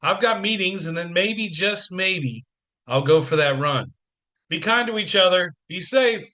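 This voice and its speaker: A man's voice: high-pitched, lively intonation, unhurried, sharp tone, heavily acted. A man acting in an audio play as a harried, wry, affectionate businessman father with dry sarcasm.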